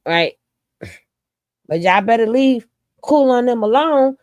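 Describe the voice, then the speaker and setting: A woman's voice: on the high side, lively intonation, slow, darkish tone, dry recording, lo-fi sound. A woman giving casual reaction commentary, stuffy from a cold, mocking and teasing in slangy talk. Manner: mocking, laughing, slangy, sing-song teasing.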